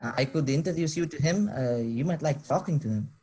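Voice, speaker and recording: A man's voice: fairly high pitch, fairly quick, warm tone, a little hiss or hum, close to an everyday voice, poor audio. A man reading the part of an earnest, polite teenage boy from abroad in a table read, formal and hesitant.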